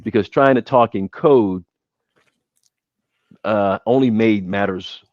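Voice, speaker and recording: Midwestern man as podcast guest; low voice, halting and rambling, dull dry recording. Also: darkish tone, no echo. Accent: Midwestern American. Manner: rambling, with many restarts and hesitations. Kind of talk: casual podcast chat.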